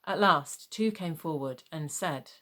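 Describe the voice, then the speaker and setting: A woman with a slightly low voice reads scripture as the narrator of a dramatised gospel. Her delivery is measured and formal, in the manner of careful storytelling. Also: a crowd in the background. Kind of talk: dramatised Bible reading.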